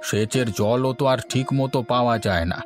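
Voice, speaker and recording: A man's voice: mid-range voice, a little fast, music in the background, natural voice. A man playing a mournful old village uncle. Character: older male farmer uncle, mournful. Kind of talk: Bengali cartoon story acting.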